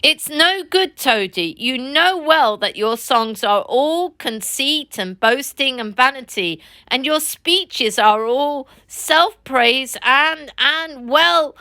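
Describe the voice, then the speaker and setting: A woman's voice: high voice, animated, slow pace, few pauses, pushed and bright, voice pushed up, full character voice. A woman doing a firm, earnest storybook Rat. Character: the Rat, kind but firm male water rat.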